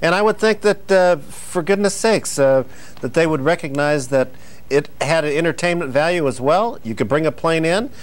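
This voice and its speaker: A man's voice: on the high side, moderate pace. A man hosting a mock-serious comedy interview, asking questions hesitantly and prompting his guest with words. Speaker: interviewer, host.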